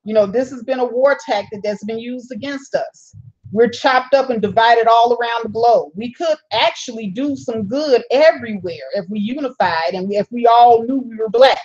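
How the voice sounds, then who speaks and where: A woman's voice: fairly high pitch, normal speed, darkish tone, highs cut off. A woman hosting a casual live stream. She reads viewer comments aloud, answers them, and laughs often. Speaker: channel host reading live chat.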